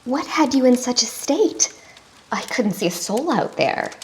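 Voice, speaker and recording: An actress playing a bold, cheeky, curious villager in an audio drama. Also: female voice, on the high side, sing-song, measured pace, noisy background.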